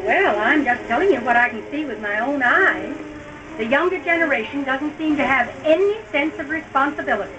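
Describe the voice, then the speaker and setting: Older mother-in-law: meddling, high-pitched, self-righteous, old radio drama, recorded at a distance. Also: animated, brisk, dull audio. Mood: affronted, defensive. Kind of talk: 1930s-style radio drama acting.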